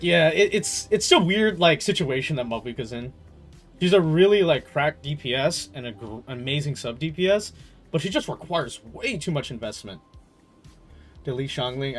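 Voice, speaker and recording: A man with a slightly high voice talks casually as he streams a game. He thinks aloud in slangy, stop-start bursts and swears now and then. Game music plays faintly behind him. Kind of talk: casual livestream chat, rambling gaming commentary.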